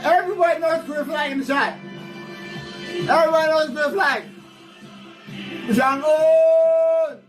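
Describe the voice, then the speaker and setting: A man yells and sings the same few phrases again and again, his voice pushed very high. He drags the words out slowly. Loud music plays behind him in this livestream clip. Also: rough recording. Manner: chanting, repetitive shouting and singing. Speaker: streamer in a played clip. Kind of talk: shouted, sung livestream antics.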